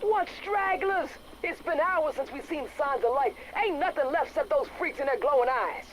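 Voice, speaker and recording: An actor playing a blunt, world-weary biker friend, pleading and resigned, in a game audio drama. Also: male voice, extremely high pitch, expressive, normal speed, a bit bright, a little hiss or hum, poor audio. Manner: blunt, pleading, rough-edged. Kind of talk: game radio drama dialogue.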